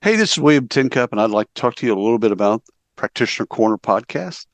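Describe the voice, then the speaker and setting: A man's voice: mid-range voice, lively intonation, fast, no room sound. A man doing a short, upbeat and friendly promo for his podcast. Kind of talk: promo read.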